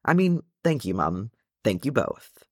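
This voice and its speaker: A man voicing a good-natured young dwarf in an audiobook story, courteous, calm and quietly insistent. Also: medium pitch, unhurried, no echo, clean studio sound. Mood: plaintive, grateful.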